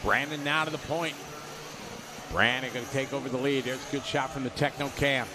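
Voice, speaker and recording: A man calling a radio-controlled car race live, giving quick play-by-play and reading out viewer messages. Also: mid-range voice, fairly even intonation, noisy background. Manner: rapid play-by-play, reads viewer chat aloud. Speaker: race commentator.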